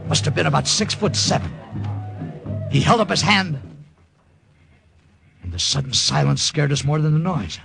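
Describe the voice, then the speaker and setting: A quick-witted American soldier tells his jungle adventure in old radio style. His voice is gravelly and swings widely in pitch, over dramatic music. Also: lively intonation, a bit bright, full character voice. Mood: tense, vivid. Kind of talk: 1950s radio drama narration and acting.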